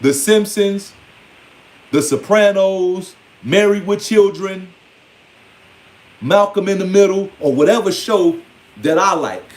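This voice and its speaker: High-voiced American man in his forties. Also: slow, pauses often. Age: 40s. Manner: streetwise, emphatic, rambling, often swearing and quoting others. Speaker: online speaker. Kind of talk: informal spoken monologue to followers.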